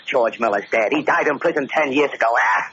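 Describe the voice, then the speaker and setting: Bullying American mob boss, curt and sarcastic, mid-pitched, 1940s radio crime drama with muffled sound. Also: male voice, lively intonation, fairly quick, bright, forceful tone. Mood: sarcastic, angry. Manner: curt, sarcastic, barking orders. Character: bullying, short-tempered, paranoid male gangster boss. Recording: muffled, noisy old radio broadcast.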